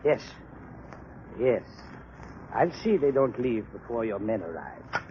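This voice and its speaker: Officious, suspicious lab chief, muffled. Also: male voice, medium pitch, big pitch swings, takes it slowly. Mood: brisk, officious. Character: officious, suspicious male sanitarium laboratory chief. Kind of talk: 1940s radio crime drama acting. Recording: muffled, noisy old radio recording.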